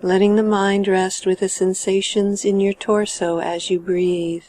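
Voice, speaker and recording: Soft-toned, mellow woman guiding meditation. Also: mid-range voice, dry recording. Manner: calm, measured, gentle phrasing with trailing sentence ends. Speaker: meditation guide. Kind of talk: guided meditation narration.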